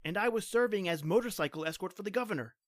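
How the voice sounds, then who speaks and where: An actor playing an earnest, insistent young trooper. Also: male voice, high voice, lively intonation, fast talker, no echo, clean studio sound. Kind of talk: old-time radio drama reading.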